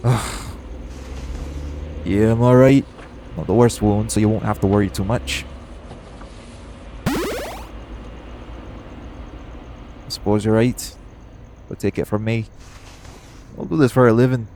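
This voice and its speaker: A man's voice: fairly low pitch, fairly even intonation, unhurried, long pauses, warm tone, a little hiss or hum. A man playing a cocky, teasing, foul-mouthed hitman in an audio roleplay. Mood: pained, angry, then reassuring. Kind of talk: audio roleplay acting addressed to the listener.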